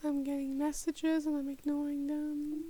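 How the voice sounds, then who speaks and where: High-pitched, halting woman reading aloud. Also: little pitch movement, warm tone, no echo. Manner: halting, self-correcting, muttering to herself and sounding out words. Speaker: podfic reader. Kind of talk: informal rehearsal of a read-aloud, checking pronunciations.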